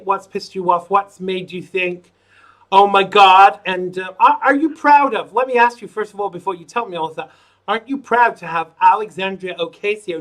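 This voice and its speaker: A man's voice: sharp tone, low-quality recording. A man hosting a live call-in show, chatting through news items with jokey asides. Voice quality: says his voice is low. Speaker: show host.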